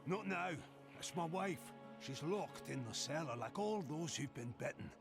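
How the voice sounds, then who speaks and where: Earnest blacksmith in a fantasy game, highish emotional voice, swings up and down, music behind. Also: male voice, animated, moderate pace, gravelly. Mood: anguished. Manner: plain-spoken, emotional. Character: troubled, earnest village blacksmith, grieving husband. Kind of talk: fantasy video game voice acting.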